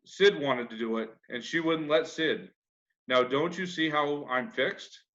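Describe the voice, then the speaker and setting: A man's voice: a little high, unhurried, a bit bright, highs cut off. A man reading a storybook, voicing a crafty young country boy with a folksy, casually indifferent manner. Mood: reluctant, sly. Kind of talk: children's classic storybook reading.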